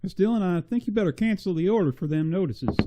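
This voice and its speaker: An actor playing a folksy, loyal, plain-spoken frontier deputy with rustic grammar in a western radio drama. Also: male voice, high-pitched, expressive, fast, warm tone, no room sound. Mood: urgent. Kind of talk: old-time western radio drama acting.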